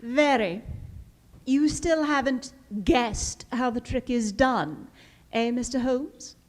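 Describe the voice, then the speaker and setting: Woman playing a slow, gloating criminal mastermind. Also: on the high side, lively intonation. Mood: taunting. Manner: deliberate, taunting, savoring each threat. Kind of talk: old-time radio drama acting, live stage reading. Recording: live recording, clear water-like background noise.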